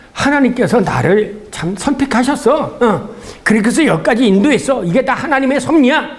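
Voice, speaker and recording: Animated male lecturer, widely swinging pitch. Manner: didactic, asks rhetorical questions and answers them. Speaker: seminary lecturer. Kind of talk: theology seminar lecture, conversational.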